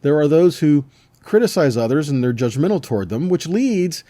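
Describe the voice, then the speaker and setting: Mellow, animated man teaching scripture. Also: on the high side, moderate pace, no room sound. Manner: conversational, emphatic, frequent rhetorical check-in questions. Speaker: Bible teacher. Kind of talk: informal sermon with scripture reading.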